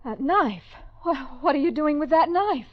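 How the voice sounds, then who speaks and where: High-voiced lady realtor, old radio. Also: lively intonation, moderate pace. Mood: frightened. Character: polite female real estate agent held at knifepoint. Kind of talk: 1950s radio crime acting. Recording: dull old radio recording.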